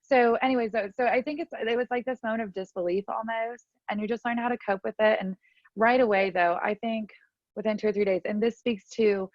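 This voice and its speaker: A woman's voice: on the high side, steady tone, a little fast, no echo. A woman, telling a personal story candidly as an interview guest. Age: 30s. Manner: candid, chatty, lots of fillers. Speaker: podcast guest. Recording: dry, slightly muffled audio.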